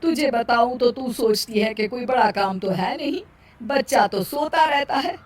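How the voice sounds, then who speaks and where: A woman's voice: fairly high pitch, normal speed, lightly acted. A woman voicing a weary older mother-in-law in a cartoon moral story, complaining in a sardonic, plain-spoken way. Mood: scolding.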